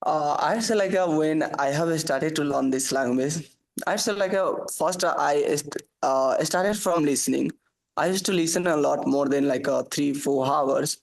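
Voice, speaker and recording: Halting Nepali-accented man, fairly high voice. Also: creaky, poor audio. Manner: halting, many fillers and restarts. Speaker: guest, English learner. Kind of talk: casual conversation. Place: working abroad.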